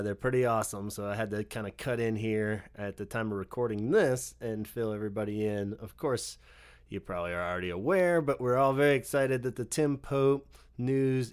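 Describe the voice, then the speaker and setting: Male podcast host, casual and chatty, smooth resonant tone, mid pitch. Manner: easygoing, laughs often, loose filler-heavy talk. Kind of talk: casual chat.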